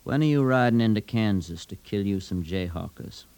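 A stubborn young farmer in a vintage radio drama. The voice is notably deep, with a rural Southern American accent, and the delivery is grim and blunt. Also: male voice, lively intonation, moderate pace, darkish tone, smooth, dry recording. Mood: grim, resolved. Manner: blunt, insistent. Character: grim, stubborn young Missouri farm man seeking revenge. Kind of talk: 1950s radio drama acting.

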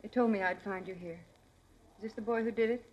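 An actress as a hurried woman visitor. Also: medium pitch, fairly even intonation, muffled recording, slight background noise, natural voice.

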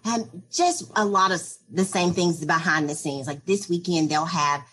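A woman's voice: fairly low pitch, moderate pace, a bit bright, breathy edge. A woman, a spirit program coordinator, answering interview questions in a chatty, upbeat way with many fillers.

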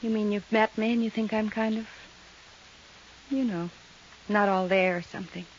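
An actress playing a distraught, fragile widow in a 1950s radio drama, hesitant and drifting. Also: female voice, mid-range voice, fairly even intonation, moderate pace, natural voice. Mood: hesitant, ashamed. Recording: dull, hissy 1950s radio recording.

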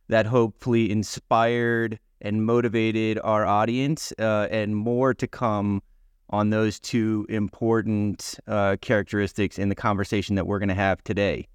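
A man co-hosts a podcast in a casual, conversational way. His voice sits slightly low and sounds clean and smooth, recorded dry and close. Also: no echo. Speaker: podcast co-host.

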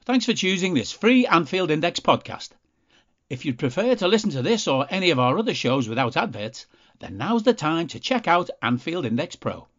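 Lively upbeat male promo announcer. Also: normal speed, highs cut off. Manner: upbeat sales pitch. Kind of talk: scripted promo read.

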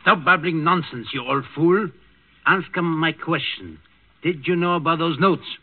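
An impatient Nazi officer barking curt commands in a somewhat high male voice. It is vintage 1940s radio drama, thin and a little off the microphone. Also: measured pace, no room sound. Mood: impatient interrogation. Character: impatient, bullying Nazi Gestapo lieutenant. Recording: distant, narrow-band 1940s radio recording.

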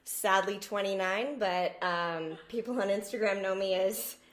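A woman's voice: mid-range voice, measured pace. A woman in her late twenties, a guest on a podcast, chatting with light, self-deprecating humor. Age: 20s. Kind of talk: casual interview chat. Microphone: remote call mic.

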